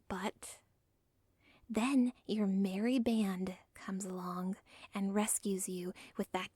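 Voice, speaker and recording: Actress for obsessive young villain's daughter; intimate whisper, slow and breathy, dead-dry mic. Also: female voice, mid-range voice, no room sound. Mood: urgent. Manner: intimate, pleading, self-aware. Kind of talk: ASMR audio role play.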